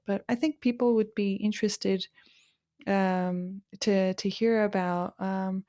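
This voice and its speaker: A woman's voice: mid-range voice, darkish tone. A woman hosting a podcast, asking long, reflective questions full of fillers. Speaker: podcast host. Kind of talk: interview questions.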